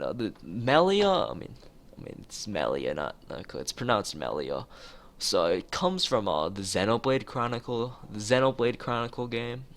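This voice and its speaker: A man's voice: on the low side, vocal fry. A man live-streaming a visual novel, reading it aloud and adding casual, somewhat rambling asides. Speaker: game streamer. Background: music with plucked guitar.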